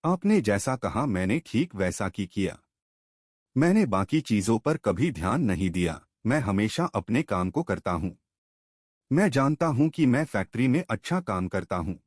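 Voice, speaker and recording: Guy doing young male factory worker in a story, bummed out n confused, plaintive, lowish voice. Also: darkish tone, no echo, clean studio sound.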